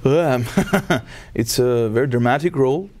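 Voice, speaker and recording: A man's voice: mid-range voice, measured pace, darkish tone, a little hiss or hum. A man born in Iran whose first language is Armenian, an opera tenor answering interview questions hesitantly, searching for words.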